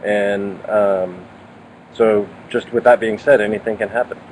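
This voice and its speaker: Male co-host, lower voice, chatty and joking, faint outdoor noise. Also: fairly even intonation, a little slow, a little mellow, rough recording. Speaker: co-host sailor. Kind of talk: casual chat.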